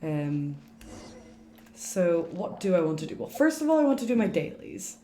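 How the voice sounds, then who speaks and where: Young woman streamer rambling casually, kinda low mellow voice, echoey room. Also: sing-song, normal speed. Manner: rambling, informal, self-interrupting. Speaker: game streamer. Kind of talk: casual livestream chat while gaming. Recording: roomy echo, faint noise.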